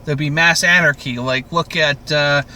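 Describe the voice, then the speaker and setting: A man hosting a casual podcast chat about a TV show, with crude, profane, animated joking. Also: a little high, moderate pace, a little hiss or hum. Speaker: podcast host.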